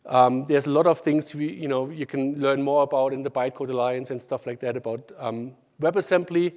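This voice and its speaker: A man from Germany with a German accent, giving a conference tech talk, halting with many ums and restarts. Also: medium pitch, darkish tone. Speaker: conference speaker. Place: large conference hall. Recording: hall reverb.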